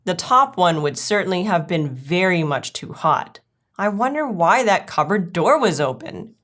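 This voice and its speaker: A woman's voice: on the low side, lively intonation, a little slow, fairly bright tone. A prim and houseproud lady cat from a storybook, performed by a woman reader. She speaks with fussy, genteel courtesy, every phrase formal and proper. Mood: puzzled, prim.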